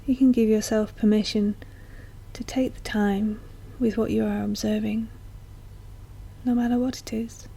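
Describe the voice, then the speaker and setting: A woman guiding a meditation in a soft, breathy, often near-whispered voice, speaking gently and slowly with long, soothing pauses. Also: medium pitch, level tone, poor audio. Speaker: guided meditation teacher. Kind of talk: slow guided meditation narration.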